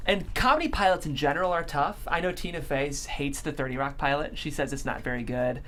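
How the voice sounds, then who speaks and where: A woman's voice: deep voice, fast talker, a bit bright. A woman hosting a casual panel discussion about television. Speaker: show host. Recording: faint background noise.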